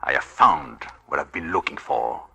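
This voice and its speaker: A man's voice: rumbling low, expressive, moderate pace, creaky, sharp tone, breathy edge, full character voice. An actor playing a cold, domineering husband who taunts his wife imperiously in a 1940s radio drama. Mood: cold, taunting. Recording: old radio broadcast recording.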